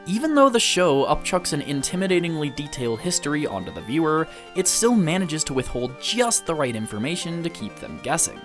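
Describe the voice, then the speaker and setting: Lively, higher-voiced man narrating an essay. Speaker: video essayist reviewing anime. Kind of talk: scripted video-essay narration. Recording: music behind the voice. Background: background music.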